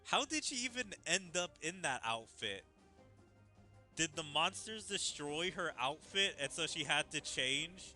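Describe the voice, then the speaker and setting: A man's voice: a little high, animated, measured pace, bright, forceful tone, soft background music. A man giving casual gaming commentary, thinking aloud in a musing way as he follows a story. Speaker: gaming video creator.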